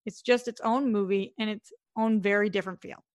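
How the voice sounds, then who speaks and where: A woman co-hosts an entertainment podcast in a relaxed, chatty way, stopping for "uh" and "um" as she reads out news and quotes. Her voice sits at an everyday middle pitch. It is recorded close and dry, with no room sound. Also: fairly quick, dry recording. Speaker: podcast co-host. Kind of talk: casual chat about entertainment news.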